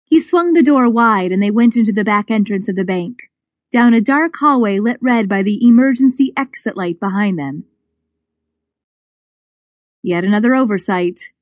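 A woman reading scripted suspense fiction as an audiobook narrator, with expressive storytelling. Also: on the high side, normal speed, no echo, studio quality. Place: dry studio.

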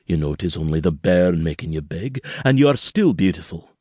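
A tender father, as a male reader puts him on, gentle and reassuring, his low voice rising and falling in lively swings. The sound is close and dry, with no room around it. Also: brisk, breathy edge, dry recording. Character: Highland father, tender and steady.

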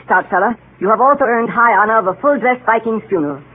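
Bossy english boy captain, high husky voice. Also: lively intonation, quick pace. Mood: solemn, ceremonial. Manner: commanding, mock-solemn, schoolboy formality. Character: bossy young English boy captain, solemn ringleader of war games. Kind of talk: 1930s radio drama acting. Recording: tinny, muffled 1930s radio broadcast.

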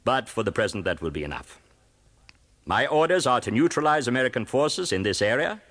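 An actor playing an arrogant, confident Japanese naval commander in an audio drama, declaiming pompously. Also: male voice, medium pitch, sing-song, a little fast, fairly bright tone. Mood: confident briefing.

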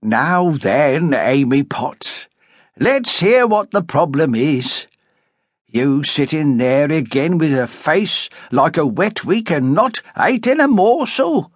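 A man's voice: fairly high pitch, sing-song, takes it slowly, no room sound, studio quality, voice pushed up, exaggerated voice. A man voicing a stout, bossy middle-aged innkeeper's wife, blunt and scolding in earthy dialect.

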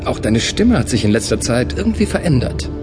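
A man playing a calm space traveler. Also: medium pitch, close to an everyday voice. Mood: curious. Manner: calm, measured, inquisitive. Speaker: first-person narrator and space traveler. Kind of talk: audio drama narration and acting. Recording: music underscore behind voice.

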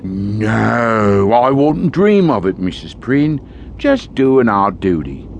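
A sly, slow-talking old-time gravedigger. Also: male voice, lowish voice, big pitch swings, gravelly, dull audio, slight background noise, full character voice. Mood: oily, falsely humble. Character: sly, greedy older male gravedigger, falsely pious. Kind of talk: audio horror drama acting.

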